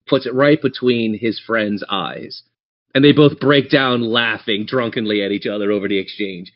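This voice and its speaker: A man's voice: mid-range voice, highs cut off. A man narrating a tabletop fantasy game as game master, theatrical yet conversational.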